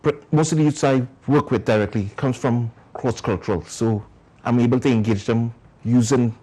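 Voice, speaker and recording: Trinidadian man with a husky, mellow voice. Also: mid-range voice, normal speed. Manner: earnest, explains at length. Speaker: community organization founder. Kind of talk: TV interview guest.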